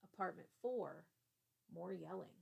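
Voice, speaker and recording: A woman teacher reading a children's storybook aloud in a deliberate, expressive way. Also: on the low side, creaky, slight background noise.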